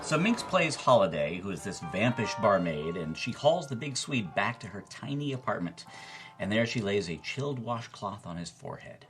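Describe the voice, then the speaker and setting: Man, film lecturer, low husky voice, animated pitch, enthusiastic, faint music. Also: moderate pace.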